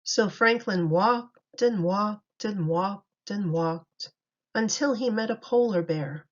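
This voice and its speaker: A woman's voice: mid-range voice, takes it slowly. A woman teacher reading a picture book aloud to young children in storytime style. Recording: dull lo-fi recording.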